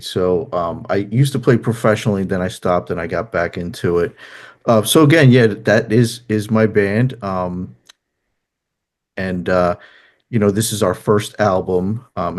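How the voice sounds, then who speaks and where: A middle-aged man with a New Jersey accent talking casually as an interview guest, somewhat hesitant and rambling, repeating himself as he goes. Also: deep voice. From New Jersey, United States. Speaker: musician and songwriter, interview guest.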